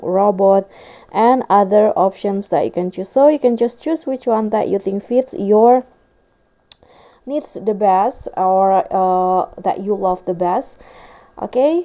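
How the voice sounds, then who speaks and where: A woman's voice: medium pitch, dark tone. A woman giving a casual step-by-step tutorial narration for a video app. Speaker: tutorial host.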